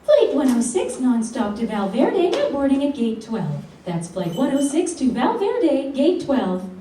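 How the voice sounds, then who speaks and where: Radio play bit part: courteous female flight attendant, acted by a woman, high, wildly lilting, distant in an echoey room. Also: very animated, unhurried, dark tone. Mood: polite, professional. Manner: courteous, formal. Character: polite, singsong female airline attendant and announcer. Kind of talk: live stage radio play. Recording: echoey, distant live room, background noise.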